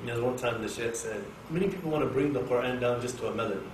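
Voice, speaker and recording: A man from Oklahoma giving an informal Quran class to an audience in a mosque, talking in a jokey, animated way and turning to people in the room. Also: mid-range voice, quick pace. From Oklahoma, USA. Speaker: Quran teacher. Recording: echoey room, distant mic, faint hiss.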